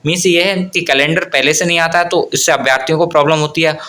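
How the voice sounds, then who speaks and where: A man presents an informal explainer update. His voice sits a little high and has a sharp, bright edge. He talks in an explanatory way, often rewording what he has just said. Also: fairly even intonation, fast. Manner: explanatory, often rephrases and repeats points. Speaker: host of an exam news channel. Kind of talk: informal explainer update on government exam news.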